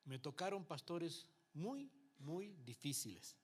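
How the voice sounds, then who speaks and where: A Uruguayan man with a Mexican tinge in his speech, preaching to his church in a slightly higher voice. He talks in a conversational way, checking in with the congregation and acting out what people say. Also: sing-song, slow, husky. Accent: Uruguayan Spanish with some Mexican influence. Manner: conversational preaching with frequent rhetorical checks and acted-out quotes. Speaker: pastor. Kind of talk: Bible class sermon to a congregation.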